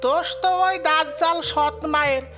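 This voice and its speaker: A woman voices an indignant village neighbour in a cartoon tale. Her very high, sharp voice is emphatic and sympathetic, with faint music behind. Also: moderate pace. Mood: indignant sympathy.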